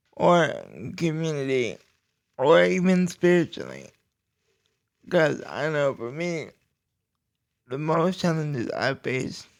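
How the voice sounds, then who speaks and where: A young man hosting his own podcast, talking slowly and deliberately about everyday life, with pauses and restarts. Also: on the high side, vocal fry. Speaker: podcast host. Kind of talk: casual personal talk.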